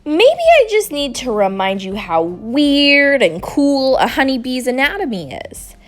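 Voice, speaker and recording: A woman reads a children's story aloud with an expressive delivery. Her voice is on the high side and swings widely in pitch. She takes her time, with music playing softly behind her. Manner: deliberate, animated, acting out dialogue. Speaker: storybook reader.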